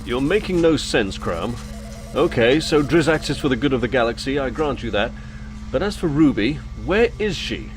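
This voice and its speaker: Guy acting a stern space general, fed up n urgent, mid pitch, very animated, sci-fi audio drama. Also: moderate pace, over music, close to an everyday voice. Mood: impatient. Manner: urgent, commanding, impatient.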